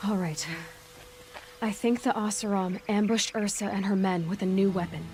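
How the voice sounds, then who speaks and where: An actress playing a sharp, observant young huntress in a video game, reasoning in a calm, matter-of-fact way. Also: female voice, mid-range voice, normal speed, close to an everyday voice. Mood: analytical. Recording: dramatic music and game sounds behind.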